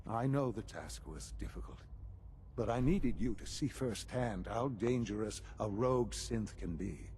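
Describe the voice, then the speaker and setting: An actor playing a calm, aging scientist leader in a video game, speaking in a measured, formal, paternal way. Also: male voice, fairly low pitch, slight background noise. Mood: composed, grave. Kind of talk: video game character dialogue.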